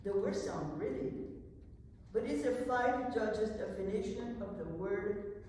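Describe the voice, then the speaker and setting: A woman reading dramatic poetry as an anxious young enslaved woman awaiting her freedom, in a deliberate manner. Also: mid-range voice, mellow, slight background noise, natural voice. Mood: anxious, pondering. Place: large indoor hall at a live event. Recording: very echoey hall from far off.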